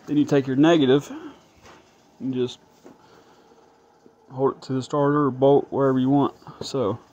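A man's voice: medium pitch, flat delivery, normal speed, mellow, dull audio. A man thinking aloud as he tests an engine at his garage workbench, casual and a bit frustrated. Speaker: home mechanic vlogger. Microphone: phone camera held close.

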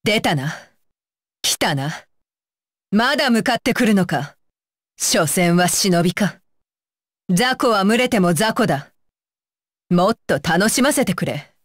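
A woman's voice: mid-range voice, lively intonation, slow, pauses often, no room sound, clean studio sound. A woman playing a fierce, disdainful young female shinobi with a curt, commanding manner, in anime video game battle lines. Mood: scornful.

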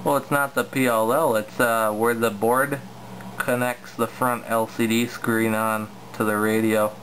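A man casually talking through a hands-on radio demo in a plain, low-key way, recorded indoors on a handheld camera. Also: little pitch movement, a little slow. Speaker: radio hobbyist presenting a demo. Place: indoors at a home radio setup. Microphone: handheld camera filming the equipment. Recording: clear background noise, radio static.

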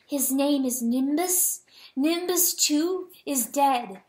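A woman's voice: high-pitched, slow pace, darkish tone, voice pushed up. A woman voicing a grieving, raging hen wife in a dramatic audiobook, pleading and declaiming with passion. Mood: anguished, defiant. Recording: roomy, echoing sound.

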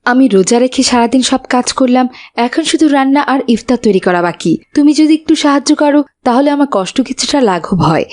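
A devout, weary mother in a cartoon, acted by a woman. Her voice is fairly high and she pleads like someone long worn down. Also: lively intonation, a little fast, darkish tone. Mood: pleading. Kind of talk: cartoon voice acting.

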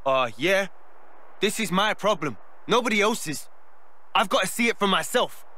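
A man's voice: high-pitched, expressive, moderate pace, sharp tone. An actor playing a hot-headed, impulsive young man in a video game cutscene, brash and urgent. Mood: urgent, defiant.

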